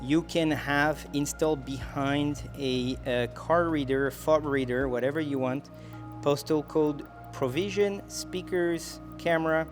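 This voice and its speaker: A man's voice: on the high side, steady tone, unhurried, faint music behind. A man presenting a product demonstration in a conversational, explanatory way, going through things step by step.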